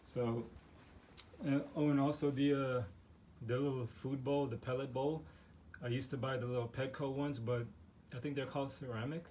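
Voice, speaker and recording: A man's voice: medium pitch, fairly even intonation, normal speed, darkish tone, slight background noise. A man who is losing his voice, rambling through a casual home vlog as he shows his pets' setup in his living room, with lots of fillers and restarts. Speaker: guinea pig owner showing his setup.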